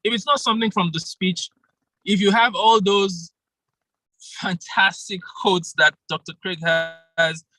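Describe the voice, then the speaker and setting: A man leads an online speaking workshop over a video call, lively and quick to laugh as he calls on people by name. His voice sits a little high and rings bright and forceful. The sound is dry and close. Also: no room sound, poor audio. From Nigeria. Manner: animated, laughs often, calls on participants by name. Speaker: public speaking coach. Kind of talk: interactive online workshop talk.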